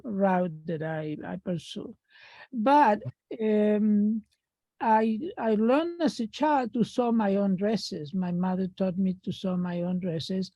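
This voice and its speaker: Older woman, Argentine Spanish accent, slow with many fillers, dull video call sound. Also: medium pitch, dark tone, no echo. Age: 60s. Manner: unhurried, pausing often with fillers. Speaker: artist guest. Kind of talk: remote panel talk.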